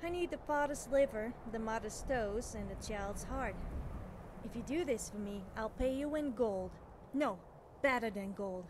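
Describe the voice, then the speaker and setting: A woman's voice: on the high side, unhurried, noisy background, close to an everyday voice. A young woman playing an eager, nervous, cheerfully amoral witch student in video game dialogue, chatty and girlish, often hesitating and backtracking. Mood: eager, conspiratorial.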